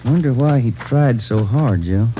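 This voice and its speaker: A man's voice: mid-range voice, expressive, a little slow, lo-fi sound, natural voice. Man as alert detective sidekick in vintage radio. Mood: subdued. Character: alert, concerned male police detective partner. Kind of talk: 1940s radio acting. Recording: old radio recording, noisy background.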